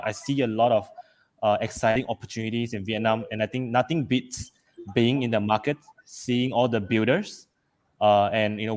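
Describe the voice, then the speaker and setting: A man's voice: fairly low pitch, a little mellow. A man from Malaysia telling his story casually on a video call as a panel speaker, with many fillers and restarts.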